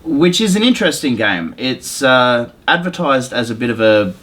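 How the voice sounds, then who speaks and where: A man's voice: medium pitch, sing-song, moderate pace, a bit bright. A man with a mid-range voice hosts a gaming podcast. He chats casually and explains things in an informal, unpolished way, as if among friends. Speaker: podcast host.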